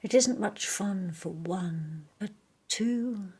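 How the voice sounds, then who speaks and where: A woman voicing a gentle little male teddy bear in a soft, simple, agreeable whisper. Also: medium pitch, takes it slowly, husky, voice pushed up. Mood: sweet.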